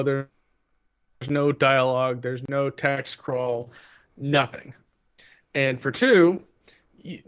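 A man co-hosting a casual podcast chat, talking in an emphatic, exasperated way. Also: a little high. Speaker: podcast co-host.

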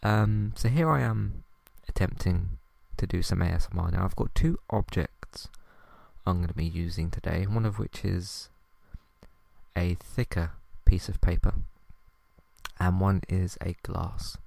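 A young man hosting a podcast, talking softly and hesitantly with many ums as he tries out ASMR for the first time. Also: low-pitched, measured pace, creaky, dry recording. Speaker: podcast host. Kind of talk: first attempt at ASMR, quiet podcast chat.

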